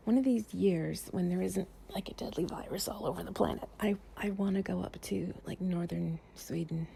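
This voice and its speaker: A woman playing an affectionate, playful girlfriend in an improvised bedtime cuddle audio, speaking softly and breathily, often near a whisper, in a rambling way. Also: fairly low pitch, gravelly, a little hiss or hum. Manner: soft, rambling, affectionate, giggly. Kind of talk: improvised intimate relaxation roleplay audio, ASMR-like.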